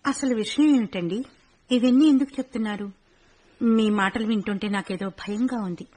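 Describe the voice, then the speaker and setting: A university-age daughter in a radio play, fearful and pressing for news. Her voice is fairly high and breathy. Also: normal speed, warm tone. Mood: frightened. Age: young adult. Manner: anxious, pressing. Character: young university daughter, frightened.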